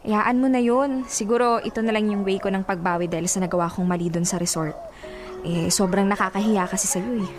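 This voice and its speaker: A woman playing a brash but soft-hearted young woman in a Filipino radio drama, with playful, animated bantering. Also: mid-range voice, a little fast, over music, close to an everyday voice. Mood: sheepish, soft.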